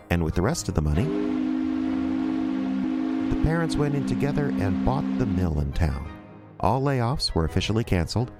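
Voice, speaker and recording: Guy narrating an audio drama, deepish voice, very animated, bit of music behind. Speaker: narrator and announcer.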